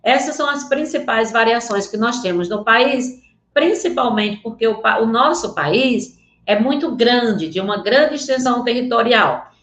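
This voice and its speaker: A woman's voice: on the high side, animated, moderate pace, a bit bright, echoey room. A woman teaching a Portuguese class online in a Northeastern Brazilian accent, speaking earnestly and often checking in with her students. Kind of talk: online class lecture with slides.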